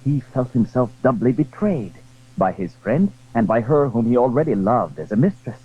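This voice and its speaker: A man narrating a period adventure story in a measured, literary, dramatic style. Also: mid-range voice, sing-song, husky, phone-quality sound.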